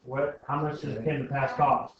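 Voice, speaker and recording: A man's voice: mid-range voice, flat delivery, normal speed. Man teaching in a very echoey room. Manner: informal, frequent checking questions. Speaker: algebra teacher. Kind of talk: classroom lesson, thinking aloud with questions to students. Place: indoor classroom. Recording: very echoey classroom, distant mic, muffled, faint noise.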